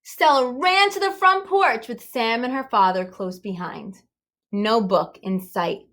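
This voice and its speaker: A woman's voice: a little high, a little slow. A woman reading a children's story aloud expressively, with little asides and questions to her listeners. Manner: animated, engaging questions to listeners. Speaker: storybook reader.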